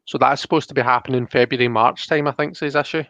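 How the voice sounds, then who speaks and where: A man's voice: mid-range voice, steady tone, fast talker, fairly bright tone, no echo. Casual, rambling man, slightly dull recording. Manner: rambling, thinking aloud. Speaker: host of a live card reading stream. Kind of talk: casual chat, reading out viewer comments.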